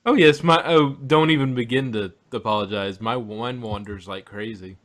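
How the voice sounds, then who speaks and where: A man hosting a show in casual banter, speaking haltingly and often repeating himself. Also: medium pitch, a bit bright. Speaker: host of an audio drama review.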